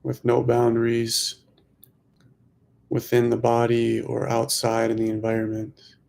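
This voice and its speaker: A man leading a guided meditation as a teacher, speaking slowly and softly in short phrases with long pauses. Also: mid-range voice, monotone, gravelly. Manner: slow, hushed, long pauses between phrases.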